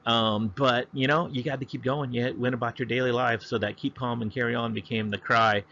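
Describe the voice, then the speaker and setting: A man's voice: mid-range voice, fairly even intonation, brisk, creaky, pushed and bright, muffled recording, slight background noise. A man teaching history in a loose, conversational way, talking over slides with frequent ums and restarts. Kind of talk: casual lecture over slides.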